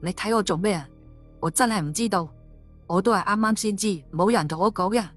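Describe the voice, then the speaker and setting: A woman's voice: medium pitch, a little fast, soft background music, lightly acted. A woman playing an angry, suspicious policewoman and wronged wife in an audiobook drama, scolding bluntly. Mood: irritated.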